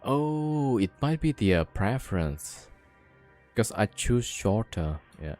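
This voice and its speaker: Low, easygoing man who streams games and chats with viewers over steady game music. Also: slow. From Indonesia. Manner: relaxed, chatty, answering viewer questions while playing. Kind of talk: casual gameplay chat with viewers.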